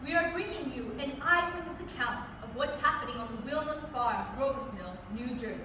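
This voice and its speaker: A woman's voice: sits high, a little fast, close to an everyday voice. A woman playing a formal, composed 1930s radio news announcer in a staged radio drama. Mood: formal, grave. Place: public library room, staged reading. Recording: far off in echoey hall, noisy.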